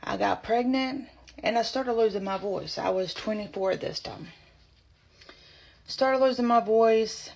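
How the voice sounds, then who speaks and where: A woman's voice: a little high, creaky, a little mellow. A woman with a strained, choppy voice, telling her personal story to camera in short, blunt bursts. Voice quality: strained, choppy voice from spasmodic dysphonia. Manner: blunt, indignant, talks in short halting bursts. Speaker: vlogger.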